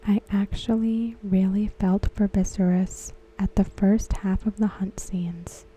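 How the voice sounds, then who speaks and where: A woman whispering softly and unhurriedly in an ASMR style, talking about a TV episode. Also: mid-range voice, steady tone, a little slow, slightly rough, poor audio. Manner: soft unvoiced whisper throughout. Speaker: ASMR creator discussing a TV episode.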